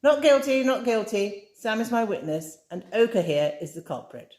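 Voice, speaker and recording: A woman's voice: on the high side, very animated, normal speed, a little mellow, voice pushed up. Brief: a woman playing a no-nonsense, exasperated woman in a storybook, echoey room. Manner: dry, exasperated. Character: dry, exasperated sensible woman.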